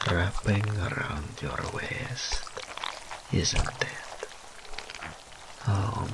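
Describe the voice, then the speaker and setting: A man playing a seductive, teasing, dominant demon incubus in an intimate audio roleplay, breathy and often whispering, drawing out his taunts. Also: a bass voice, very noisy, exaggerated voice. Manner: slow, drawn-out, mock-sympathetic purring taunts.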